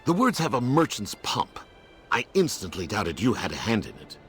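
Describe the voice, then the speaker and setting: A man voicing a kindly, formal martial arts elder in a video game, measured, courteous and earnest. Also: on the low side, wildly swooping pitch, soft background music. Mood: grave, earnest.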